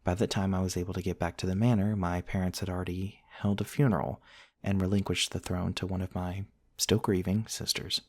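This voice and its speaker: A man playing a gentle, courteous centuries-old vampire prince in an intimate ASMR roleplay, soft-spoken and formal, with hesitant pauses. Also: low-pitched, little pitch movement, no room sound, clean studio sound. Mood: somber, reflective. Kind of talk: intimate ASMR roleplay, storytelling to one listener.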